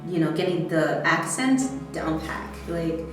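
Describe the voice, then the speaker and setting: Low-voiced american actress chatting away, laughs easy, super echoey far-off mic, music under it. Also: female voice, a little slow. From United States. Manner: animated, chatty, laughs easily. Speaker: stage actor. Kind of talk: behind-the-scenes interview. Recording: very echoey room, distant mic, music behind.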